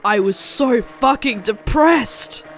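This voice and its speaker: A woman's voice: on the high side, expressive, slow, background noise. A woman narrating a cartoon story in the first person as a melodramatic, angsty goth teenage girl.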